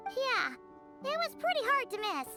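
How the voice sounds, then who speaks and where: A woman voicing a tiny, chatty, excitable fairy-like companion in a video game, bubbly and talking about herself in the third person. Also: very high-pitched, moderate pace, over music, heavily acted. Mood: eager.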